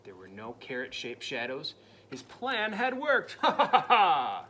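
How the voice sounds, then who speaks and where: Animated man reading a spooky storybook. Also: fairly high pitch, unhurried, slight background noise. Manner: dramatic, drops to spooky whispers and jumps to loud cries; playful asides to the listening child. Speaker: parent reading a picture book aloud to a child. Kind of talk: storybook read-aloud.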